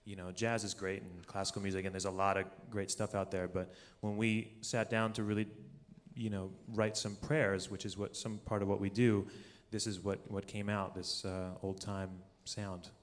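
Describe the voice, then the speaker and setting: A man's voice: lowish voice, little pitch movement, moderate pace. A male musician speaking as an interview guest in an informal, earnest, conversational way, recorded live on stage. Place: stage of a live radio show. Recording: live hall with long reverb.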